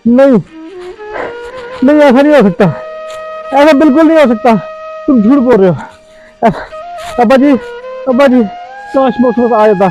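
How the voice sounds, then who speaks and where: A man's voice: extremely high pitch, pauses often, dark tone. A man acting as a doubting, self-serving grown son in a moral drama. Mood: panicked grief. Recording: dull lo-fi sound, faint music.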